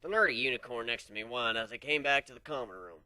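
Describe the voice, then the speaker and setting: Brief: male amateur reader, expressive storybook narration, lively pitch. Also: quick pace, no room sound. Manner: expressive, swinging pitch, hushed in tense parts. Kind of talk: dramatic reading of fan fiction.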